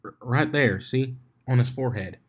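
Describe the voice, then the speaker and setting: A man's voice: mid-range voice, moderate pace, pitched above the performer's own voice, natural voice. Give me a man playing a drawling farm mare with a Southern accent. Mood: teasing. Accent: American Southern.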